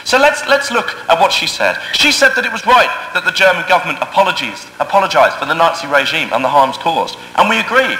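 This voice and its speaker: Englishman debater, high and animated, bright and cutting, dry wit, echoey chamber. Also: fast talker, few pauses. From England. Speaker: competitive debater. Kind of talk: formal debate speech with wry asides. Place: debating chamber of a parliament building. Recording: echoey hall recording.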